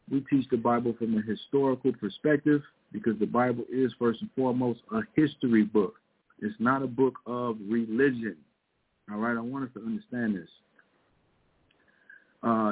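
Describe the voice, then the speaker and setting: A man's voice: mid-range voice, husky. A man hosting an online radio talk show and teaching the Bible. He talks casually, stretching his words and dropping in "man" and "uh" as he goes. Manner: loose, drawn-out delivery peppered with "man" and "uh". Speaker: podcast host and Bible teacher. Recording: tinny phone-line call.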